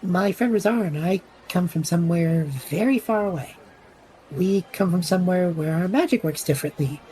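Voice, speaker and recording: Casting: woman as wisecracking extrovert male adventurer, lowish mellow voice, lively delivery, audio drama. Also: normal speed, lightly acted, rough recording. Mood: showy, playful. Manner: flippant, wry, theatrical. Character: impulsive, chatty extrovert young male traveller, showman.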